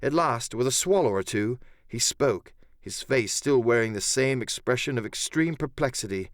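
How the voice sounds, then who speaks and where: A man's voice: mid-range voice, lively intonation, normal speed, no room sound, clean studio sound. A man narrating an adventure audiobook in the first person, with theatrical, literary storytelling.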